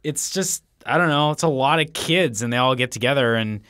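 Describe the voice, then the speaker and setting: A man's voice: brisk, pushed and bright, no room sound. Nasal, sarcastic male podcast host. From California. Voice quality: high nasal voice. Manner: dry, sarcastic joking. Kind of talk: casual podcast banter.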